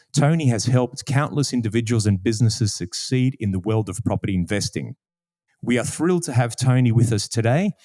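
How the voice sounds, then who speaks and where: A man hosts an interview podcast with a mellow, relaxed voice at an average male pitch. The sound is dry and close, with hardly any room around it. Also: dry recording. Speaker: podcast host. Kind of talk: podcast hosting, introduction and questions.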